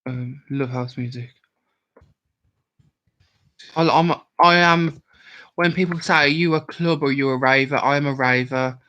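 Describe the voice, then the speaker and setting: A man's voice: mid-range voice, steady tone, measured pace, long pauses, sharp tone, highs cut off. A young man from Worcestershire with a West Midlands accent, drunk and rambling as he chats with viewers on a livestream. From Worcestershire, England. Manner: rambling, chatty, answers viewer comments aloud. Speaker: livestream host. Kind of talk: casual livestream chat with viewers.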